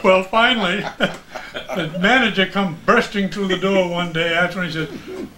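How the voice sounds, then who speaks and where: An older man chats and tells anecdotes as a former radio announcer. His voice is sharp and somewhat high, with faint noise on the recording. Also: expressive, normal speed. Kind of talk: animated anecdotal chat.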